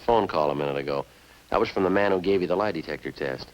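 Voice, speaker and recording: Actor as very deep-voiced, deadpan police sergeant. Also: male voice, fast talker, muffled recording, lightly acted. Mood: cool, probing. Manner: clipped, deadpan, matter-of-fact. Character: terse, unflappable male police sergeant narrating his case. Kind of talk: 1950s radio police drama acting.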